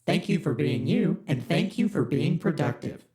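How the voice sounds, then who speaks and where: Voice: mid-range voice, big pitch swings, moderate pace, warm tone. An actor voicing a chipper, formal corporate spaceship AI in an audio drama, speaking like a scripted announcer. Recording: roomy echo.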